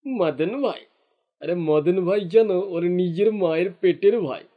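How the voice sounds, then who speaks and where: A man's voice: high voice, a little slow, phone-quality sound. A man voicing a jealous, greedy fisherman in a cartoon, grumbling and indignant in his delivery. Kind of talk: cartoon dialogue acting.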